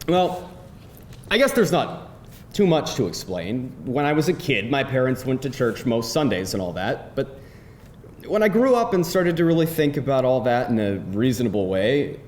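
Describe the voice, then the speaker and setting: A man's voice: mid-range voice, a little mellow. An actor playing a quirky, dryly teasing man on a date in a live screenplay table read. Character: quirky atheist man on a date, secretly devoted churchgoer. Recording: echoey live room, faint noise.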